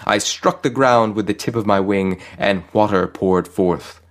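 A man's voice: on the low side, a little slow, close to an everyday voice. A man reads the part of a serene archangel from a short story. He proclaims with joyful grandeur and reassures without hurry, solemn and sure of himself. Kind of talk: audio short story character reading.

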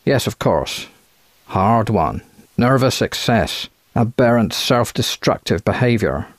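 A man's voice: lowish voice, lively intonation, slow pace, no echo, clean studio sound. A man voicing an eager, chatty American physician with a quacking voice and folksy, clipped phrases in an audiobook. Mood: brisk, earnest. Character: thin, eager American physician running a sanitarium.

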